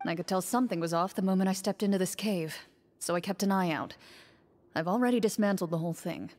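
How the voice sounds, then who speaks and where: An actress playing a composed champion duelist in a video game, speaking calmly and measuredly. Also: female voice, fairly low pitch, lightly acted. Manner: calm, measured, questioning. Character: composed female champion duelist, cool-headed. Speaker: game character.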